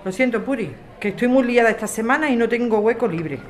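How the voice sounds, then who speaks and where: A woman's voice: fairly high pitch, animated, fast, some chatter behind, natural voice. A woman with an accent from Spain acting a tired, secretive, evasive character in radio soap dialogue. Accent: Spanish.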